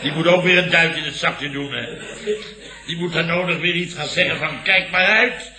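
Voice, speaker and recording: An actor playing a flustered, long-winded middle-aged boss who blusters and repeats himself in a radio comedy. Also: male voice, a little high, moderate pace, sharp tone, a little hiss or hum. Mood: indignant. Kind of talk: 1970s Dutch radio comedy acting. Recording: roomy old radio recording, studio audience.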